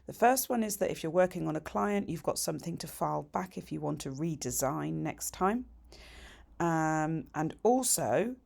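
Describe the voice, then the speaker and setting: A middle-aged woman with a croaky voice, chatting her way through a nail tutorial voiceover with practical, cheerful asides. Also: low voice, darkish tone. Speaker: nail technician teaching a tutorial.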